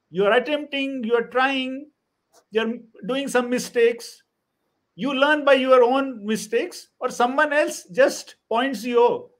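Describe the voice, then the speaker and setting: A woman speaking as a panelist in a reflective discussion on a video call, halting and listing words one by one. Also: high-pitched.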